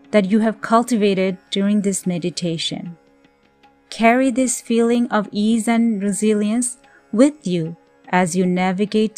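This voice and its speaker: A woman leading a scripted guided meditation in a gentle, soothing, measured way with pauses. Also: medium pitch. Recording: voice over background music. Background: music.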